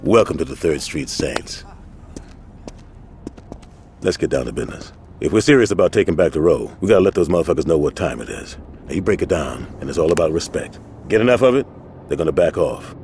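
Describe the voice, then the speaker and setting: Deep-voiced, commanding gang leader. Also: male voice, a little mellow, a little hiss or hum. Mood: businesslike. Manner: authoritative, speechifying. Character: commanding middle-aged male street gang leader, stern, fatherly. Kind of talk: video game cutscene acting, street slang.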